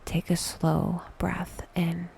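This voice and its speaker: A woman leading a guided meditation in a soft, breathy whisper, intimate and deliberate, with long pauses. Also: fairly low pitch, completely flat delivery, slight background noise, rough recording.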